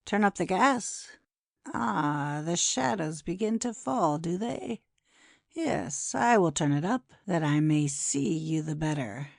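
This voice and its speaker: A woman's voice: fairly low pitch, expressive, slow pace, gravelly, a little mellow, dry recording, clean studio sound, heavily acted. A woman playing a cruel male poisoner in an audiobook drama, taunting and menacing as she gloats. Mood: gloating.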